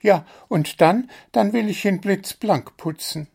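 A man voicing an excitable little bird who squeaks eagerly and schemes for presents. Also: slow, creaky, slightly rough, heavily acted.

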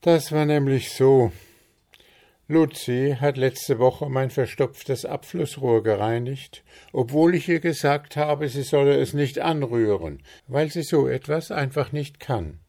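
A man voicing a grumpy, proud male gnome baker, huffy and quick to take offence, in a storybook reading. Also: medium pitch, dark tone, pitched above the performer's own voice, natural voice. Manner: huffy, indignant, quickly agitated.

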